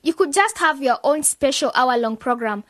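A woman's voice: sits high, expressive, normal speed, fairly bright tone, no room sound, clean studio sound. An actress playing a confident, idealistic young woman leading a youth club in a radio drama, with a persuasive, upbeat manner. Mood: enthusiastic, persuasive.

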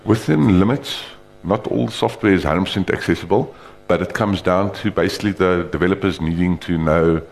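A man giving an informal, rambling live demonstration of screen reader software, talking loosely with frequent restarts. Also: deep voice, moderate pace, gravelly, hardly any pauses.

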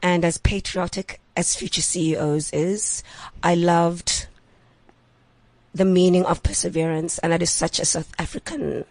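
Female radio co-host, husky voice, casual chat, very little room sound. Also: lowish voice, steady tone, unhurried, a little mellow, no echo. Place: radio studio.

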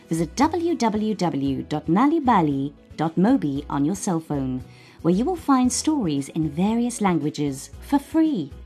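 A woman's voice: fairly low pitch, warm tone, slightly rough. A woman announcer reading a scripted outro for a children's story programme.

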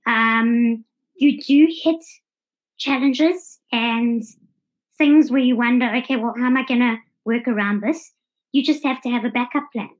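A South African woman talking casually as a podcast guest. Her voice is fairly high and husky, and the audio sounds a little dull and lo-fi. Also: measured pace. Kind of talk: casual conversational interview answers.

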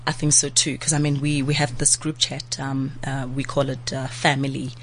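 A woman with a low voice talks casually, sounding nasal and stuffy from a flu. She is chatty and animated and often restarts her sentences. Faint noise sits behind her. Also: normal speed. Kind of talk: casual chat.

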